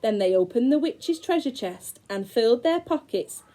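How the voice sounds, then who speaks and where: Female teacher, storybook read-aloud for small kids, mellow tone, very lively pitch. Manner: expressive, slow, dramatic storytelling with gasps. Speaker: primary school teacher.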